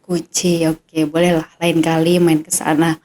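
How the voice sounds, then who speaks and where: A woman's voice: sits low, level tone, a little slow, a little mellow. Curious young woman hosting a podcast. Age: young adult. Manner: chatty, curious, eager follow-up questions. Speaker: podcast host, cadet. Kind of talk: casual podcast interview.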